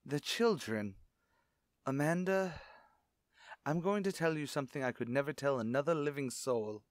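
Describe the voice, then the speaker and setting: A man's voice: fairly high pitch, expressive, normal speed, dry recording, studio quality, natural voice. A man playing a brooding, secretive widowed father in formal, grave, melodramatic old-time radio suspense style. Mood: grave, confiding. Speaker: radio drama actor.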